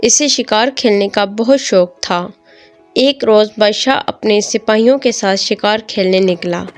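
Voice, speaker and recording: A woman's voice: mid-range voice, a little slow. A woman storyteller reading a scripted moral tale as narrator. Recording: music behind the voice.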